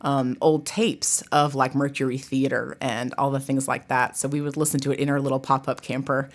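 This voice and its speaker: Low-voiced woman chatting enthusiastically. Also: level tone, brisk. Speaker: guest, college theatre director. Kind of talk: casual interview chat.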